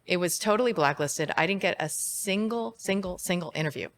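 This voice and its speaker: A woman's voice: fairly low pitch, brisk. A middle-aged woman interviewed as a guest psychiatrist, speaking in a candid, reflective way and laughing easily. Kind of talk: interview conversation.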